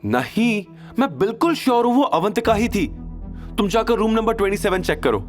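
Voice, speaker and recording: A man's voice: high voice, lively intonation, brisk, faint music behind, voice pushed up. A man voicing a gossipy, mocking young woman with an insistent manner in an audio drama.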